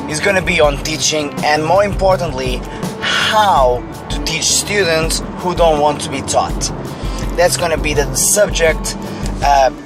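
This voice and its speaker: A man with a rough, hoarse voice, worn out after a long day of lessons. He chats casually to the camera in a slightly high register, with clear music playing underneath. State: hoarse, tired. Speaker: drum teacher.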